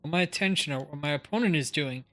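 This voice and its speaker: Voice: mid-range voice, fast, fairly bright tone. A streamer reading game dialogue aloud in a dramatic, playful way during a livestream. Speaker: live streamer.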